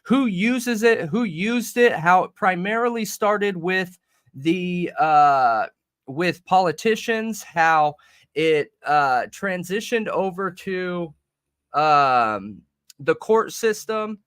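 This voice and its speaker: A man's voice: high-pitched, animated, takes it slowly, fairly bright tone, clear, resonant tone. A man co-hosting a livestreamed podcast, chatting casually and rambling with restarts into a desk mic that has a slight metallic ring. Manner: rambling, restarts mid-thought. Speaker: podcast co-host.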